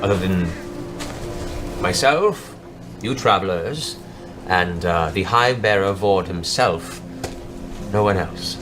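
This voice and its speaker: A man voicing a fussy, formal elderly temple envoy in a tabletop game, speaking in a halting, ceremonious way. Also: sits low, animated, music in the background. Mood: measured, officious. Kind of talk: tabletop roleplay in character.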